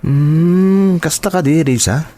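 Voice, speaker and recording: A man's voice: on the high side, takes it slowly, warm tone. A man with an Ilocano accent playing an eager, trusting son in a radio drama. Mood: friendly, impatient. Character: eager, trusting adult son planning to work abroad.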